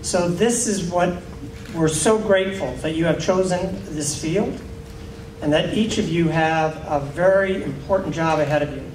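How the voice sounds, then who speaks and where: A man's voice: fairly high pitch, moderate pace, darkish tone, a little hiss or hum. A man, a dean, giving an earnest rallying address to graduates at a podium. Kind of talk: ceremony address. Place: auditorium at a podium. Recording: echoey hall, distant mic.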